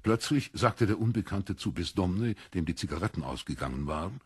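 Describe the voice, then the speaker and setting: Deep, very husky male narrator. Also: expressive, a little fast, dry recording, studio quality. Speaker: audiobook narrator. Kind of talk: scripted literary narration.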